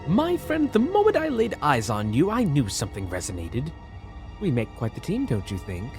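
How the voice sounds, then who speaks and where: A man's voice: fairly high pitch, huge pitch swings, moderate pace, darkish tone, soft background music. A man playing a flamboyant, deranged villain in an audio drama, with a theatrical, airy, mock-courteous manner. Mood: smug, playful. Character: flamboyant, deranged, sly villain feigning friendship.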